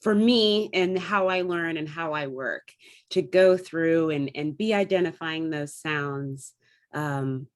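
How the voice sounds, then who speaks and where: A woman with a notably low voice talks as a guest in an interview. She explains her work conversationally and articulately, shaping her points as she goes. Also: slow pace. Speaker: theatre artistic director and actor, interview guest.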